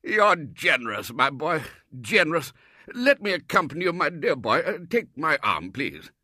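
Brief: man playing a loud, effusive old Colonel, lively swooping voice, high and bright. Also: moderate pace, dry recording, clean studio sound, exaggerated voice. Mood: gushing. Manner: booming, gushing, stammering at times. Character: blustering elderly male Colonel, loud and effusive. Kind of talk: storybook reading.

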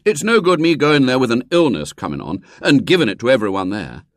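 A man's voice: medium pitch, big pitch swings, a little fast, no room sound, clean studio sound, pitched above the performer's own voice, lightly acted. A man voicing a blustering, cocky schoolboy in a storybook reading. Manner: blustering, boastful. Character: swaggering schoolboy, cocky but soft-hearted.

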